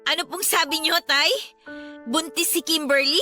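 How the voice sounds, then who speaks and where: A woman's voice: high-pitched, fairly even intonation, normal speed, bright, forceful tone. A Filipino woman playing a frustrated, outspoken eldest daughter in a radio drama, indignant and emphatic in her delivery. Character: frustrated, outspoken adult eldest daughter, dutiful but hurt. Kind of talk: Tagalog radio drama acting. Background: background music.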